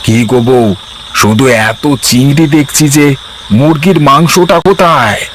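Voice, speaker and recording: A man's voice: medium pitch, slow pace, a bit bright, slight background noise. Man voicing a lazy, whiny cartoon husband. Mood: complaining. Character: lazy, grumbling village husband fond of food. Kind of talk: Bengali cartoon moral-tale dialogue.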